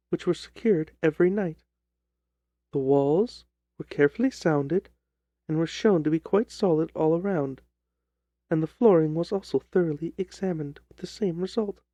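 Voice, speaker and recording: A man voicing a distressed young gentlewoman who tells her grim story in a formal, earnest, theatrical way, as in an audiobook drama. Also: on the high side, no echo, dull audio. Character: distressed young gentlewoman recounting her sister's terrifying death.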